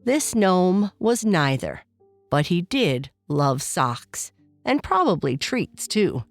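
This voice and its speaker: A woman reading a scripted children's bedtime story as narrator, in a gentle, unhurried manner. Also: fairly low pitch, very animated, faint music behind.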